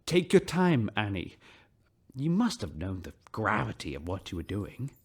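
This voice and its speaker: An actor playing a middle-aged ship captain who speaks in a dry, officious way. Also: male voice, on the low side, big pitch swings, moderate pace, a little mellow, slightly rough, full character voice. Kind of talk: sci-fi radio drama.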